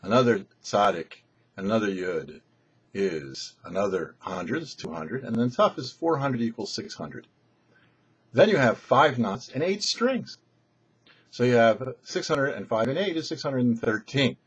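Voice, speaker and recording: A man with a markedly hoarse-edged, breathy voice presents a short religious teaching. His mid-pitched delivery is lively in melody. He speaks in measured, emphatic phrases, pausing between them like a practised teacher. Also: muffled recording. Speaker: rabbi, show presenter.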